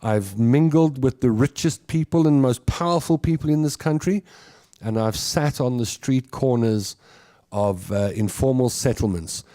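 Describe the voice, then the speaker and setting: A man's voice: mid-range voice, a little slow, dark tone, no room sound, clean studio sound. A South African man in his fifties hosting a live show, talking casually and earnestly to his listeners in a reflective, conversational way. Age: 50s.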